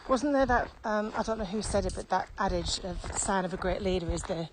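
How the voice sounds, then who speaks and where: A woman's voice: medium pitch, a little fast. A woman co-hosting a podcast in a casual, conversational way. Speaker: podcast co-host. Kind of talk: casual chat. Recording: very noisy background with clicks.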